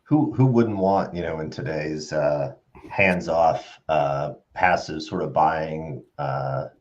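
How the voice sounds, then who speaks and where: A middle-aged man with a low voice explains things conversationally as co-host of a live stream. His phrasing is a little hesitant. The video-call sound is slightly dull. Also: takes it slowly.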